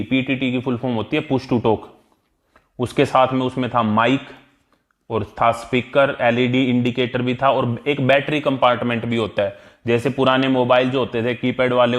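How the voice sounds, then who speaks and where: A man with an Indian accent giving an explanatory exam-prep lesson as an instructor, repeating key terms in a didactic way. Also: mid-range voice. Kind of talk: explanatory exam-prep tutorial, mixing Hindi and English.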